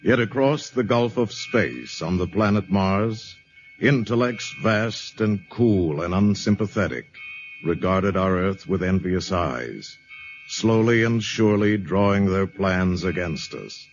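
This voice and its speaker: A man narrating a 1950s radio drama in a grave, measured, ominous tone. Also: low voice, animated. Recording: muffled 1950s radio broadcast with music.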